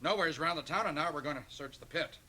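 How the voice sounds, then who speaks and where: An actor playing a bossy, plain-spoken country policeman in a radio drama, talking in a loud, folksy, commanding way. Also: fairly high pitch, quick pace, slight background noise. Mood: urgent.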